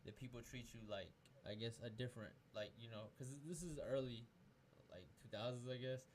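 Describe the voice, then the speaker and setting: A man's voice: medium pitch, fairly even intonation, brisk, darkish tone, a little hiss or hum. Rambling young man from Peru. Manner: rambling, loose and profane, many restarts. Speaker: podcast host. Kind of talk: casual chat.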